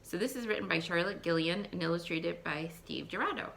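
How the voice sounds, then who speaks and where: A woman teacher reading a picture book aloud to young children, expressive, thinking aloud and putting questions to her listeners. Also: lowish voice, fairly quick, vocal fry, slight background noise. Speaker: elementary school teacher.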